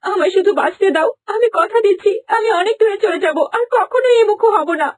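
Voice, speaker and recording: A woman's voice: extremely high pitch, quick pace. A woman voicing a helpless young stepdaughter in a cartoon fairy tale, wailing and begging. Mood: pleading, tearful. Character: helpless, pleading young stepdaughter, desperate and submissive.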